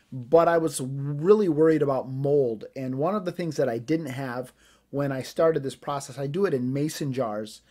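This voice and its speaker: A man's voice: on the high side, a little mellow. A middle-aged man hosting a solo podcast, chatting in a rambling, folksy way with plenty of ums and uhs. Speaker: podcast host. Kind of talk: casual solo podcast chat.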